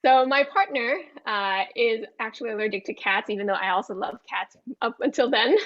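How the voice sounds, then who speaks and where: Woman from thailand chatting as a guest, a bit high, dull lo-fi sound. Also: brisk, a bit bright. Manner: friendly, laughs often. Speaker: guest, pet social media creator. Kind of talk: casual interview chat.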